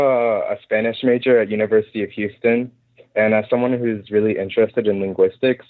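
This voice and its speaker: A man's voice: lowish voice, no echo. A young male university student calling a talk show, polite and friendly. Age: young adult. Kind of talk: casual phone call. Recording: tinny phone line.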